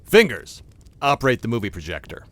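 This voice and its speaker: An actor playing a scheming, pompous crime boss with deliberate menace in an audio drama. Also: male voice, mid-range voice, wildly swooping pitch, noisy background. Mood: menacing. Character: smooth, scheming male criminal mastermind. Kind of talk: audio drama villain acting.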